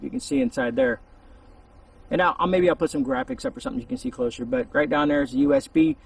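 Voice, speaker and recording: Gravelly, higher-pitched man rambling casually. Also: big pitch swings, fast. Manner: rambling, informal, conversational. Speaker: host of a gear review channel. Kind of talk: casual unscripted product review to camera. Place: outdoors in a shaded spot. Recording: distant mic with faint outdoor noise.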